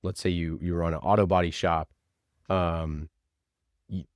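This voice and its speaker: A man with a low voice explains ideas as an interview guest. He speaks informally and haltingly, working through examples aloud. The sound is dry, with very little room in it. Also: flat delivery, no room sound. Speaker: interview guest, podcast producer. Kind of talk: conversational explaining.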